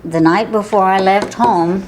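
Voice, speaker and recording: A woman's voice: mid-range voice, steady tone, unhurried, a little mellow, slight background noise, poor audio. Older woman with a Southern Illinois accent. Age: 80s. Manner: chatty, laughs often, with false starts. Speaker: oral history interviewee. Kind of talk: casual reminiscing.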